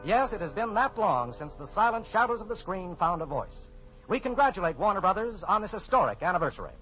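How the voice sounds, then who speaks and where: A man's voice: high voice, big pitch swings, a little fast. A man announcing on 1940s radio, delivering scripted copy with polished, emphatic salesmanship. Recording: muffled 1940s radio broadcast, faint music.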